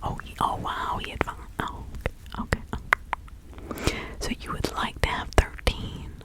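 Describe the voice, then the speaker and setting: A woman voicing a kindly, chatty older village witch in an ASMR roleplay, speaking in a breathy, halting, confiding whisper. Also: lightly acted. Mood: curious, slightly confused. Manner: slow, halting, soothing, confiding.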